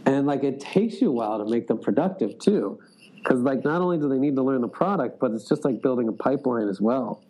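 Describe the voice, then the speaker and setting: A man's voice: medium pitch, lively intonation, fairly quick, mellow, poor audio. A man in a casual interview, speaking loosely, with lots of "like" and restarts. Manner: loose, filler-heavy, frequent "like". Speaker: sales executive guest.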